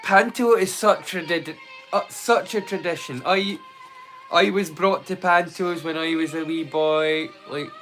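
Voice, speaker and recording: Bright-voiced man giving casual commentary. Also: fairly high pitch, unhurried, soft background music, poor audio. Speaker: watch-along host.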